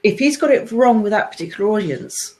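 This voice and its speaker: A woman's voice: medium pitch, expressive, fairly quick, darkish tone. A woman speaking as a guest in a casual panel discussion, explaining her points steadily and firmly.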